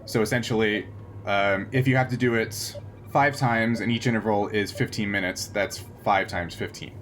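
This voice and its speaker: A man acting as game master, explaining tabletop game rules off the cuff in a halting, explanatory way. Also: fairly low pitch, fairly even intonation, fairly bright tone, a little hiss or hum.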